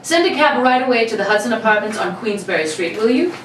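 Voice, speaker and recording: A woman's voice: a little high, animated, fast talker, lightly acted. Gloating lone-wolf robber, played by a woman, in an echoey room. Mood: gloating, playful. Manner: gloating, mock-polite, theatrical. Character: gleeful, cocky male robber, the Lone Wolf. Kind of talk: old-time radio play reading. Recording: echoey live room, distant mic, faint noise.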